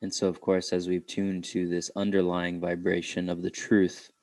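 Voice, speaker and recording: A man leading an unscripted guided meditation, speaking reflectively in halting phrases with pauses. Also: low voice, completely flat delivery, a little mellow, no echo.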